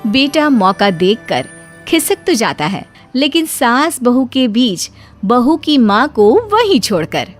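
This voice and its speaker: A woman's voice: fairly high pitch, very animated, slow pace, soft background music. A woman telling a story as narrator, in a chatty, scripted style.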